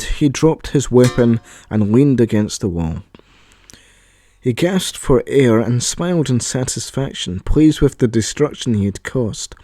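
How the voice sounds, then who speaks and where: A man's voice: mid-range voice, mellow. A man reading scripted narration for an audio story.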